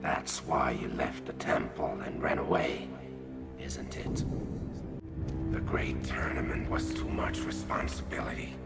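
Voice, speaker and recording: An actor playing a menacing, taunting sorcerer villain in a low gravelly whisper, heard as a clip from a 1990s action film. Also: male voice, steady tone, unhurried, exaggerated voice. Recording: film clip under loud music.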